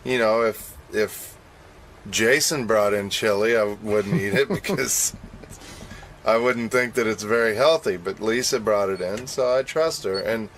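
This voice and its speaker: A man's voice: fairly low pitch, measured pace, fairly bright tone, low-quality recording. A man chatting casually on a radio show, rambling and making self-deprecating admissions. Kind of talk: casual on-air chat.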